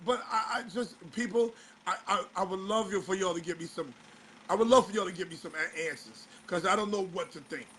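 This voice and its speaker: A male show host, slightly hoarse, talking in a casual commentary style and firing off exasperated rhetorical questions. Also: high-pitched, brisk, fairly bright tone, slight background noise.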